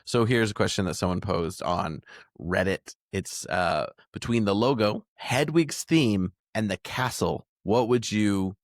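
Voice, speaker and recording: An American man with a fairly low voice hosts a casual podcast discussion. He sounds close and dry, with very little room around him. He talks conversationally, as a host putting questions and opinions to the group. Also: a little slow, dry recording.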